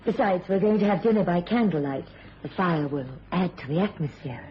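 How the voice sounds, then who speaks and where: An actress playing a devoted, easily startled young wife in a radio thriller, with an affectionate, theatrical manner. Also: female voice, fairly low pitch, animated, fairly quick, natural voice. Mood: soothing, affectionate. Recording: muffled radio recording, faint noise.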